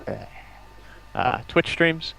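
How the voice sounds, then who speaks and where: A man's voice: on the high side, lively intonation, extremely slow, creaky, bright, forceful tone, a little hiss or hum, rough recording. A man appearing as a guest on a livestream, talking in casual banter. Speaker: stream guest.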